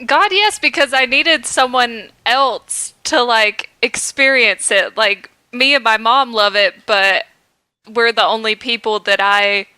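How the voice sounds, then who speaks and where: A woman's voice: on the high side, slow pace, sharp tone, clean tone, dry recording. A young woman co-hosting a podcast, chatting casually, enthusiastic and blunt, often laughing. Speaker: podcast co-host. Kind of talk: casual chat.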